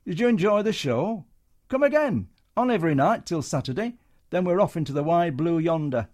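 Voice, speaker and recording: A man's voice: fairly high pitch, sing-song, moderate pace, pitched above the performer's own voice. A man voicing a blustering comedian who leads a troupe, hectoring and then wheedling. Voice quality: loud, hectoring.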